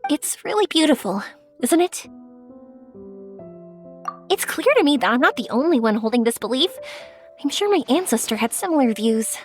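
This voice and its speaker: A woman's voice: sits high, very animated, moderate pace, pauses often. A woman playing a cheerful, sincere, bubbly young woman in a video game, speaking expressively over music. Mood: earnest, bright. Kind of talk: video game character acting.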